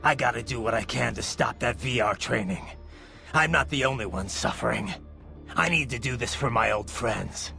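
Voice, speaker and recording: A man voicing a brooding, bloodthirsty cyborg soldier in a video game, with a menacing gravelly growl and intense, clipped phrases. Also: mid-range voice, normal speed, sharp tone. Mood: defiant. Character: brooding, bloodthirsty male cyborg soldier, haunted former child soldier. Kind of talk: dramatic video game voice acting. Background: music.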